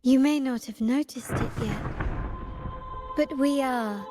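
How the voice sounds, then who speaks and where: A woman playing an enigmatic, elegant young woman in a video game, speaking in a measured, formal and cryptic manner. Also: high-pitched, music in the background. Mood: mysterious, teasing. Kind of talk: scripted video game cutscene dialogue.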